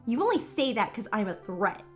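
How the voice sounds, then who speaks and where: A woman voicing a jealous, spiteful teenage supervillain in a fan comic dub, forceful and threatening. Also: medium pitch, sing-song, a little fast, soft background music, natural voice. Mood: menacing.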